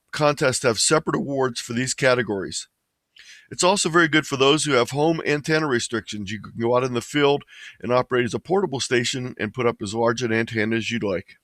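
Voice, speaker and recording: A man delivers an informational slide presentation in a medium-pitched voice. His manner is plain and explanatory, like a webinar lecturer. The sound is dry, with very little room echo. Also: a bit bright.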